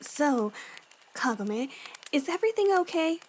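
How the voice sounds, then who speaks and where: A woman performs a supportive young warrior in an amateur audio drama. Her voice sits high, and she speaks in a frank, encouraging, big-sisterly way. Slight noise and clicks run under the home recording. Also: big pitch swings, measured pace, darkish tone. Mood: reassuring. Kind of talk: fan audio drama acting.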